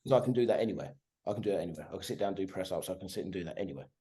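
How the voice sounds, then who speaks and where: Man, forties, podcast guest, sweary, animated, keeps asking "right?", video call. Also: low-pitched, racing. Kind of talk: casual conversation.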